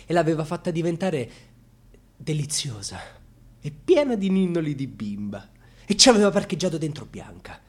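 A wounded, ironic storyteller with a husky, breathy male voice. He delivers a colloquial radio theatre monologue with dramatic intensity, shifting between hushed murmurs and louder, biting emphasis. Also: medium pitch. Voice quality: husky, often dropping into breathy whispers. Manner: dramatic, swinging from hushed murmurs to loud outbursts. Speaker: actor narrating a dramatic monologue.

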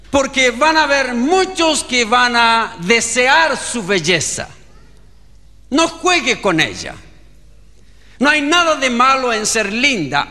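A man's voice: extremely high pitch, pushed and bright, long reverb tail. A male pastor with a Chilean accent preaching a sermon and acting out the dialogue of a story. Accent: Chilean Spanish.

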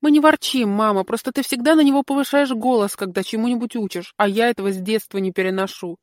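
A woman's voice: fairly high pitch, fast, no echo, studio quality, natural voice. A woman voicing a boy's mother in a storybook, reasoning and protesting. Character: boy's mother, an adult woman standing up to grandmother. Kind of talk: storybook character dialogue.

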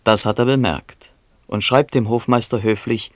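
A man's voice: medium pitch, steady tone, normal speed, no echo, lightly acted. A man playing a dry, knowing chronicler in a literary radio play, narrating tersely with faint irony. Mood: matter-of-fact. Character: dry, knowing male chronicler of a poet's life.

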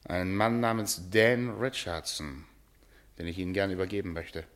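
An actor playing a self-assured, coolly superior upper-class gentleman in a German radio play, speaking formally. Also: sits low, moderate pace. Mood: formal, controlled.

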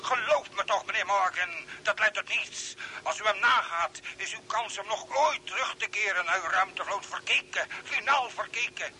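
A mysterious guide in an old space radio serial, urgent and pleading. The voice is high and piercingly bright. There is a light hiss on the recording. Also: male voice, normal speed, hardly any pauses, low-quality recording. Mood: urgent, warning. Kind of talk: mid-century Dutch radio drama acting. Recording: thin, hissy old radio voice.

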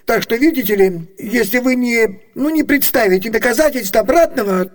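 Comic radio role: blustering old merchant, irascible, high-pitched, spluttering and sceptical. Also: male voice, normal speed. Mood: baffled, doubtful. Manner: spluttering, exclamatory. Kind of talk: theatrical comic radio play acting.

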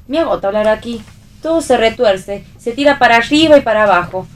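Woman doing young bohemian argentine actress, flippant n teasing, very lively highish voice, bit far from mic, radio play. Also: a little fast, natural voice. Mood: languid, playful. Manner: teasing, dramatic.

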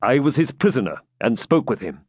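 A man's voice: medium pitch, big pitch swings, fairly quick, darkish tone, no echo, clean studio sound. A man voicing a grim, battle-worn medieval knight with solemn, emphatic archaic speech. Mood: insistent.

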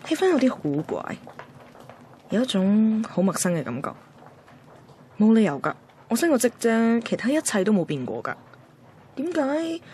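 An actress playing a chatty, self-doubting young single woman, confiding in expressive Hong Kong Cantonese in a radio drama. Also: mid-range voice, slight background noise, lightly acted. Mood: puzzled, sulky. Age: young adult. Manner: confiding, expressive inner monologue. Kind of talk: radio drama narration and dialogue.

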